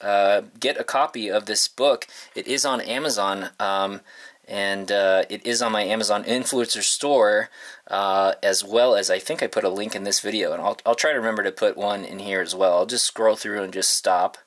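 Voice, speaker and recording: A man in his thirties vlogging casually, informal and halting as he thinks aloud to his viewers. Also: fairly low pitch, steady tone, fairly bright tone. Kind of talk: casual, rambling vlog chat to viewers. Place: inside a parked converted school bus.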